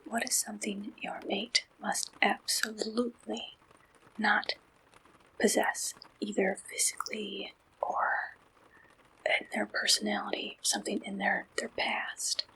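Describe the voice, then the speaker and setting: A woman whispering breathy, gentle questions in an ASMR roleplay, as an interviewer taking down answers. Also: medium pitch, flat delivery, a little slow, poor audio. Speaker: ASMR roleplayer acting as a dating service interviewer.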